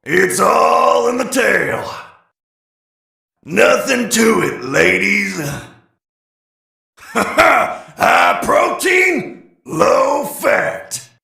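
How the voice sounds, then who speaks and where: Brief: vain robot gator rocker, very gravelly and high-strung, swaggering, bellowed game catchphrases, male. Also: big pitch swings, drawn-out words, pauses often, pushed and bright, heavily acted. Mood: cocky, aggressive. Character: vain, aggressive male animatronic alligator rocker. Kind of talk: video game catchphrase acting. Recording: roomy echo.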